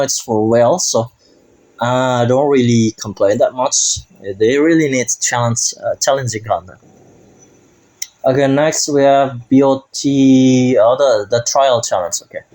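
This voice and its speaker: Slow, halting gaming YouTuber man. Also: medium pitch, a little mellow, low-quality recording. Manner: halting, searches for words in English, chuckles. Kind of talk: casual game update commentary.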